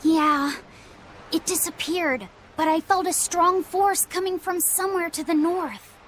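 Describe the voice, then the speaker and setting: A woman's voice: very high-pitched, steady tone, unhurried, fairly bright tone, slight background noise. An actress playing an earnest, polite young woman exorcist in a video game cutscene. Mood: alert, uneasy. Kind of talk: animated game cutscene acting. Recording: background music over dialogue.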